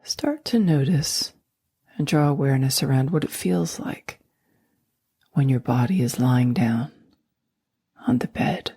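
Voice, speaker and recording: A woman guiding a sleep meditation, speaking slowly and softly, often in a near whisper. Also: extremely low pitch, expressive, slightly rough, no room sound, clean studio sound. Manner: slow, soft and soothing, often whispered. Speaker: meditation guide.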